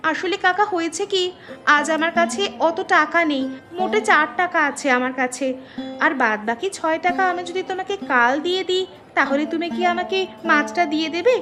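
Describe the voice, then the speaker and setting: A woman's voice: extremely high pitch, a little fast. A woman voicing a young village daughter in a cartoon fairy tale, earnest and pleading. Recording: music under the voice.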